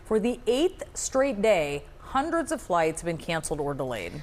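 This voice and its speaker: A woman's voice: fairly low pitch, sing-song, normal speed, darkish tone. A woman reading scripted news as an anchor.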